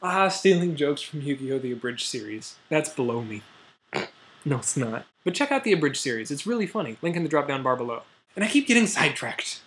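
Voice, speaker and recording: A man's voice: fairly high pitch, sing-song, brisk, a bit bright. A young American man hosting a chatty science news explainer, dropping jokey asides and mock exclamations as he goes. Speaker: host of a science news video show. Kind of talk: chatty, semi-scripted explainer to camera.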